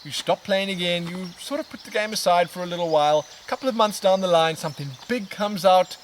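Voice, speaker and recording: A man, casually answering viewer questions in a relaxed, expressive, rambling way as a vlog host. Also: high-pitched, brisk. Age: 20s. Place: outdoors on a riverbank in woodland. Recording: outdoor natural background noise, birds. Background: outdoor ambience with birds.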